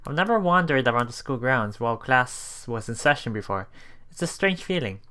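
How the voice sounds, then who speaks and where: A man doing a let's play, reading story narration aloud with casual commentary, halting and chuckling as he goes, with game music behind him. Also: mid-range voice, animated, bright, forceful tone. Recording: voice over game background music.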